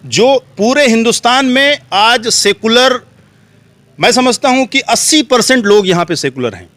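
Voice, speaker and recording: High-pitched Indian man, emphatic and oratorical. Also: lively intonation, normal speed, a bit bright, dry recording. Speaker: street interviewee. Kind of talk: earnest political opinion interview.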